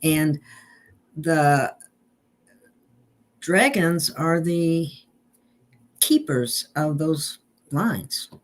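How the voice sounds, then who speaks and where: A woman's voice: deep voice, expressive, extremely slow, long pauses. A woman hosting a spiritual talk show, speaking earnestly and drawing out her guest.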